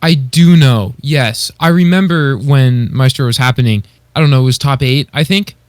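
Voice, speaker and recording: A man's voice: medium pitch, moderate pace, dry recording. A man co-hosting a podcast, chatting casually and giving informal, appreciative opinions. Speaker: podcast co-host.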